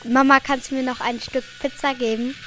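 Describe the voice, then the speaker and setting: High-pitched female performer as insistent child. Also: flat delivery, fairly quick, fairly bright tone, faint music behind. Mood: insistent, upset. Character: insistent child demanding the truth from mother. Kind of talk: amateur school radio play.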